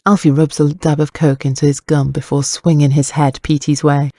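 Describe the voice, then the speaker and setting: Creaky, mellow male narrator, close and dry. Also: a little high, level tone, moderate pace, no echo. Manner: wry, wordy storytelling. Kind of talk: scripted dramatic narration.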